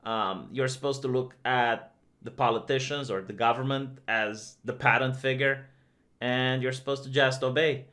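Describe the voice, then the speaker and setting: A man's voice: mid-range voice, steady tone, moderate pace, sharp tone. A man from Romania, giving opinionated vlog commentary in a conversational way, with lots of "uh" and "um". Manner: conversational and opinionated, many fillers. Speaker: video commentator.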